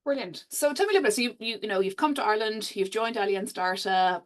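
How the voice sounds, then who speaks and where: Woman as webinar interviewer. Average pitch, conversational, encouraging, asks informal questions. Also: quick pace. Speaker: webinar host.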